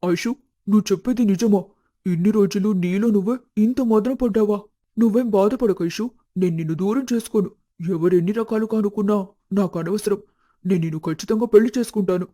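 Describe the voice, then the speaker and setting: A man playing a high-pitched, mellow young suitor. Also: fairly quick, no room sound. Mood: earnest concern. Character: young suitor, earnest and devoted, later stern. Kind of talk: animated moral-story dialogue.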